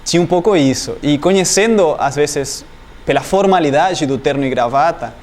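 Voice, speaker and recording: Man answering thoughtfully, higher-pitched. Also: normal speed, a little mellow. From Argentina, Entre Ríos province. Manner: thoughtful, many fillers and restarts, searches for words. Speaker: doctoral student, interviewee. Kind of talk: academic interview answers.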